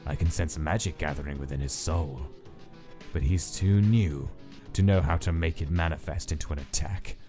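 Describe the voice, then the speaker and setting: A man voicing a cold, clinical scientist who dictates experiment notes in a measured, detached, deliberate way for a comic dub. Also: rumbling low, dark tone. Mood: wary, clinical. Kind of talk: scripted comic dub, log-style narration. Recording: voice under prominent music.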